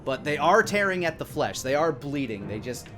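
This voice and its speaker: A man's voice: a little high, sing-song, normal speed. Man running a tabletop game over music. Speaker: game master. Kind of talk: improvised tabletop game narration. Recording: music playing behind the voice.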